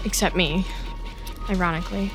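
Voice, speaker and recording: An actress playing an awkward, sardonic young office programmer in an audio drama, hesitant and dryly sarcastic. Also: female voice, lowish voice, steady tone, normal speed, over music, lightly acted. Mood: nervous, evasive. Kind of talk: audio drama dialogue and narration.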